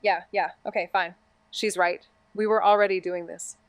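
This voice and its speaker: A woman's voice: medium pitch, steady tone, moderate pace, no echo, close to an everyday voice. An actress playing a blunt, sardonic young woman detective's sidekick in a noir audio drama, casual, skeptical and quippy. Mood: skeptical, wry.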